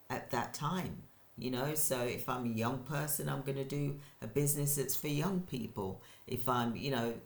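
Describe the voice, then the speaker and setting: Brief: energetic older woman, roomy room. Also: very deep voice, creaky. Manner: energetic, rambling, repeats herself for emphasis. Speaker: channel host, business owner. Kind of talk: motivational talk to camera. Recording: roomy echo.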